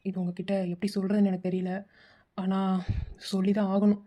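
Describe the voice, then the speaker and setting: A woman playing a hesitant caller in an audio drama, speaking gravely and carefully. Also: medium pitch, level tone, measured pace, dull audio, natural voice. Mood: somber hesitant. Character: hesitant, somber caller breaking bad news.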